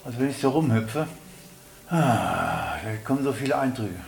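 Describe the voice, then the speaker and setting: A man giving a spontaneous explanation, piecing his thoughts together in halting fragments. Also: medium pitch, expressive, vocal fry. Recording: roomy sound with faint noise.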